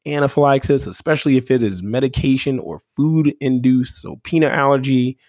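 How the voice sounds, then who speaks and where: A man's voice: medium pitch, darkish tone, no echo. Man explaining medicine in a dry, close recording. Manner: measured, teaching tone with frequent "right?" and "um". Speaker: medical expert co-host. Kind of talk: explanatory health podcast talk.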